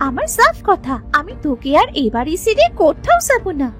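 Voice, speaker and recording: A woman plays a headstrong young village girl in a cartoon fairy tale. Her voice is extremely high and swings widely in pitch, with music underneath. She sounds childlike, pleading and insistent about what she wants. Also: wildly swooping pitch, brisk, fairly bright tone, exaggerated voice. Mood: cheeky, insistent. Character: stubborn, food-loving younger village sister, a girl. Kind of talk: animated moral story voice acting.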